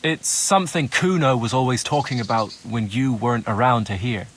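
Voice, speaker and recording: A man's voice: mid-range voice, moderate pace, fairly bright tone, lightly acted. Man acting a slippery turncoat informant, noisy background. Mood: sly, confiding. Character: slippery male turncoat informant bargaining for his freedom. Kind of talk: acted video game cutscene dialogue.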